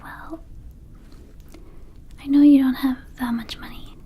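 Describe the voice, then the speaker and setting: A woman playing a friendly, mischievous young vampire herb-shop keeper in a soft, soothing ASMR roleplay whisper. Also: high voice, fairly even intonation, slow pace. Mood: coaxing. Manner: soothing, coaxing, hesitant asides.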